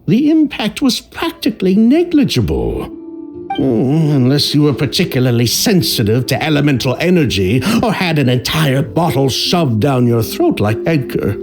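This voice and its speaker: A man's voice: fairly high pitch, huge pitch swings, normal speed, gravelly, darkish tone, full character voice. An actor playing a defensive, scheming middle-aged businessman in a game, dismissive in tone. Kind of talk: game voice acting. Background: game music.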